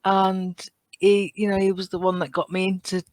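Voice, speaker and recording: A woman's voice: medium pitch, little pitch movement, moderate pace, a little mellow, no echo. A woman chatting casually as a guest on a podcast. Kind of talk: casual chat.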